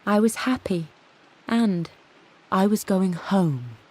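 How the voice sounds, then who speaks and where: An actress playing a tender British mother and painter in a video game, with a soft, breathy voice. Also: medium pitch, lively intonation, slow, a little hiss or hum, poor audio. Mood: fond, happy. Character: tender, happy mother, an upper-class painter recalling her life. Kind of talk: narrated journal memory in a video game.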